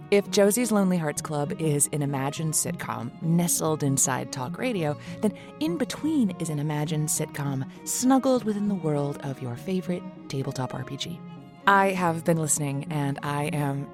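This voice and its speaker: Lowish-voiced woman reading a playful promo. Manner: playful, chatty. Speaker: show co-creator reading a promo. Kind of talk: scripted, conversational podcast promo.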